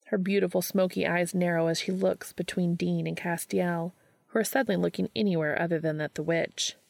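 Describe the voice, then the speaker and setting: A woman reading fiction aloud as an expressive scripted narration. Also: on the low side, brisk, gravelly, no room sound. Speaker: fan fiction reader.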